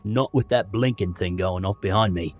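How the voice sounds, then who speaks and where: A man's voice: low voice, normal speed, slightly rough, faint music behind. Man acting a burly, flustered pub barman. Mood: urgent, gruff. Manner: loud, urgent, colloquial. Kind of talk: dramatised audiobook character voice.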